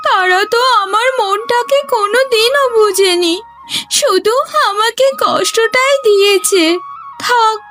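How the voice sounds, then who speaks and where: A woman's voice: very high-pitched, pushed and bright, heavily acted. A woman playing a scheming, self-pitying young sister in a melodramatic cartoon, with a plaintive, theatrical delivery. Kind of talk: melodramatic Bengali cartoon dialogue. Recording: music behind the voice.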